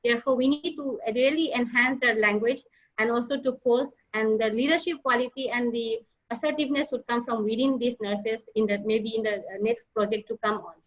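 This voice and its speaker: A woman giving a slide presentation as a nurse leader, halting often with fillers and restarts. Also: a little high, fairly even intonation. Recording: dull lo-fi online audio.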